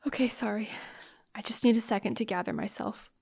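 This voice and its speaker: An actress playing a tender, shaken high school girl, halting and emotional in a naturalistic audio drama. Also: gravelly, darkish tone, breathy edge. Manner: halting, emotional, breaking into whispers.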